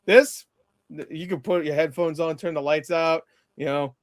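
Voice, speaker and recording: A man's voice: fairly high pitch, fairly even intonation, moderate pace, no echo. A man in a casual movie review chat, recorded close and dry with no room sound. He talks in a rambling, blunt style and leans emphatically on his opinions.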